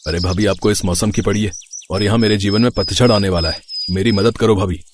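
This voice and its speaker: A man's voice: lowish voice, steady tone, brisk, a little hiss or hum, lightly acted. A man playing a mischievous young village brother-in-law in a storybook tale, teasing and pleading. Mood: pleading, earnest. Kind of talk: storybook acting.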